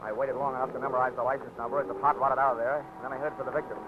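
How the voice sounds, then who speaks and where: A man's voice: mid-range voice, level tone, racing, background noise, close to an everyday voice. A man as a wisecracking radio private eye. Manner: dry, wisecracking. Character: wisecracking male insurance investigator narrating. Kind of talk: 1940s radio drama narration. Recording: dull old radio broadcast with music.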